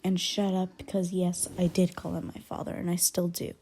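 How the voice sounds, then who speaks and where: A woman playing an aloof, grudgingly caring young werewolf in an ASMR roleplay, soft and breathy with whispered dips and blunt, guarded, halting delivery. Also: lowish voice, completely flat delivery, slight background noise, close to an everyday voice. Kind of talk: ASMR roleplay, intimate one-sided character dialogue.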